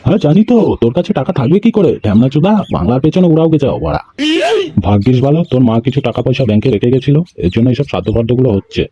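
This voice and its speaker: A man's voice: medium pitch, lively intonation, very fast, dark tone, breathy edge, muffled recording, faint music behind. A man voicing a cranky, scolding, foul-mouthed elderly grandfather in a comedy cartoon. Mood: scolding, irritated. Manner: scolding, heavy swearing.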